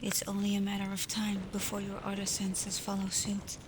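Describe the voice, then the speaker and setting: A woman voicing a caring, protective female alpha werewolf in an intimate ASMR roleplay, speaking softly, often near a whisper. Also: mid-range voice, monotone, moderate pace, noisy background, natural voice. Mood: gentle concern. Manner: soft, breathy, often near a whisper, soothing. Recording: loud storm ambience over the voice. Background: thunderstorm and rain ambience.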